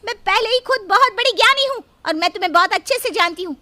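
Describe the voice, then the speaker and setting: A woman voicing a resentful, insolent young daughter-in-law in a story, scornful, sharp and accusing. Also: very high-pitched, expressive, fast, voice pushed up, heavily acted. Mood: furious, accusing.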